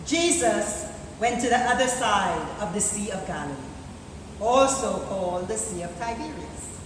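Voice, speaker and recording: A woman reading scripture aloud as a deacon in a church service, deliberate and solemn. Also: mid-range voice, lively intonation, recorded from a distance. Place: large church interior, live service. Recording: echoey church from afar, noisy live recording.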